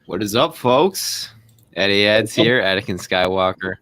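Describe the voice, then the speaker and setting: A man's voice: lowish voice, unhurried, a bit bright. A man hosting a show, opening casually and chatting with his guest, correcting himself as he goes. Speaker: show host.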